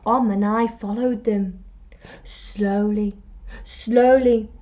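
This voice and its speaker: A woman teacher reading a picture book aloud to children, expressively, with deliberate pauses and emphasis. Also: medium pitch, steady tone, mellow. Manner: prompts young listeners with questions. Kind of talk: storytime read-aloud.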